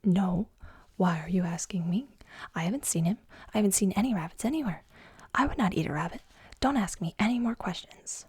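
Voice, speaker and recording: A woman's voice: mid-range voice, fairly quick, darkish tone, breathy edge, no echo. A woman voicing a guilty, defensive rabbit from a storybook, mostly whispered.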